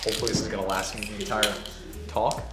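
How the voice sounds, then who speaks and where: Hoarse man vlogging in an echoey room. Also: fairly low pitch, flat delivery, fast, music in the background. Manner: casual, swears, talks softly to spare his voice. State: hoarse, recovering from voice loss. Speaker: ads agency owner hosting a workshop. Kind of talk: casual vlog talk to camera.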